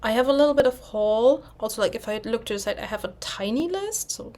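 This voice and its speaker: A young German woman chatting casually in English, rambling a little and pausing to search for words as she shows her stitching projects. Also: fairly high pitch, lively intonation, a little mellow, a little hiss or hum. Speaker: cross-stitch vlogger. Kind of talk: casual chat.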